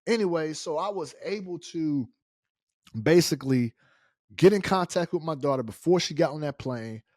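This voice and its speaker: A man's voice: on the high side, lively intonation, normal speed, darkish tone, dry recording, studio quality. A middle-aged American man telling a personal story casually and colloquially, acting out the dialogue as he goes. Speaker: storyteller, show host.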